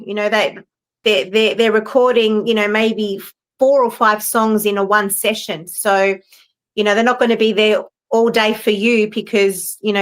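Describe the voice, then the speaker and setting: Middle-aged woman singer, fairly high voice, chatting casually over a video call, meandering and full of fillers. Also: fairly bright tone. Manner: rambling, many fillers and restarts. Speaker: professional singer. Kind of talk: casual roundtable chat. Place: home, live-streamed video call.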